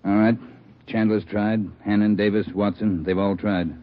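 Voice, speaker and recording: An actor playing a grave, no-nonsense chief of detectives in a 1940s radio drama, speaking bluntly and urgently. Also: male voice, lowish voice, flat delivery, normal speed, lightly acted. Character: grave, no-nonsense male chief of detectives briefing his men. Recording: tinny, phone-like old radio recording.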